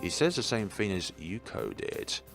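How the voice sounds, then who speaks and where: A man's voice: sits low, huge pitch swings, moderate pace, gravelly, a bit bright, soft background music. A man hosting a let's play, reading a visual novel aloud and adding his own commentary, sometimes stumbling and correcting himself.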